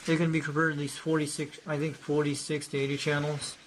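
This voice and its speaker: A man's voice: on the high side, flat delivery, fast talker, slight background noise, rough recording. Rambling man showing off an old radio. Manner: rambling, repeats himself, loose grammar. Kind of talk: casual show-and-tell narration.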